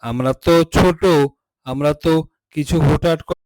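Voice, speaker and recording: A man's voice: a little high, normal speed, darkish tone, no room sound, natural voice. A man voicing a woodcutter's eager, obedient young son in a cartoon fairy tale, speaking earnestly.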